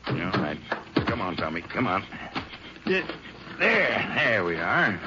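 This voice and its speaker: An actor playing a friendly, folksy rancher in a 1950s radio western, with an avuncular drawl. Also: male voice, lowish voice, big pitch swings, takes it slowly. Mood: genial, coaxing. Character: folksy, friendly unshaven rural Texas rancher. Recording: dull, noisy old 1950s radio transcription.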